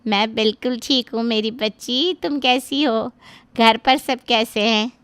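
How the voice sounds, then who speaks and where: A woman's voice: on the high side, measured pace, a bit bright, clear, resonant tone, dry recording. A woman voicing a doting middle-aged mother who fondly coaxes her daughter over the phone. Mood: affectionate. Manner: affectionate, coaxing.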